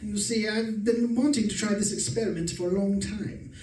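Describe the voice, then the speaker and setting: A man's voice: high-pitched, fairly even intonation, moderate pace, a little mellow, natural voice. A man playing a mild, put-upon middle-aged professor and husband in an old-time radio suspense play. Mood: meek, resigned. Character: meek, henpecked middle-aged professor husband, quietly resentful. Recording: roomy, distant mic.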